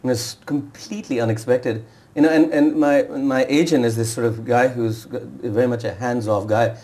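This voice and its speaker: A man's voice: mid-range voice, fairly even intonation, darkish tone. A man being interviewed as an author on a TV talk show, speaking in a hesitant way with frequent restarts. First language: Urdu and Punjabi speaker. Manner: fast, hesitant, with many restarts. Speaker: author guest. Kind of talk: TV interview conversation.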